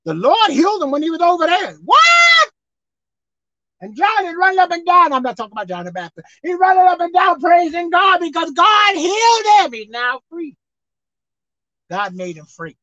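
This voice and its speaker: A woman preacher delivering a sermon with animated, call-and-response delivery, acting out bits of dialogue. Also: very high voice, moderate pace, no echo, raised voice. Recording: dull lo-fi dry sound.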